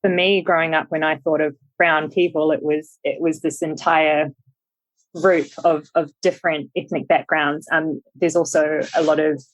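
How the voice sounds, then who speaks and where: A woman's voice: sits low, level tone, normal speed, no room sound, poor audio. A young woman with a New Zealand accent, a podcast guest talking thoughtfully with many ums and restarts. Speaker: podcast guest, startup founder. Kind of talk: casual interview chat.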